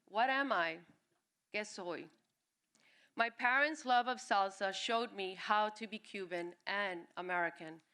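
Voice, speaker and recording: A woman raised in New York City reads her personal essay to a live audience. Her voice is bright and forward, sitting at a middle pitch. She reads expressively and shifts into quick character voices for family members she quotes. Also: clean tone. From born in Cuba, raised in New York City. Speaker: writer reading her own essay. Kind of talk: reading a personal essay at a live storytelling event. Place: live reading at a music venue.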